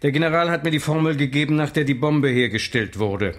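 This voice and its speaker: An actor playing a grave, worried scientist in an audio drama, speaking deliberately. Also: male voice, on the high side, animated, natural voice. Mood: anxious hope.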